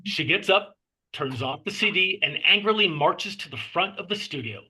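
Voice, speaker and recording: A man reading screenplay stage directions as narrator, with measured phrasing and clipped scene headings. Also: high-pitched, expressive, sharp tone, slightly rough.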